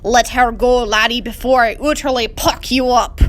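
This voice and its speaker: A woman's voice: fairly high pitch, fairly even intonation, normal speed, fairly bright tone, lightly acted. Woman doing a scottish ogre guy, big hero energy, fierce and protective, mock accent, noise behind. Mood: booming anger. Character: gallant male ogre, loud, protective, angry Scottish rescuer. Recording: clear background noise.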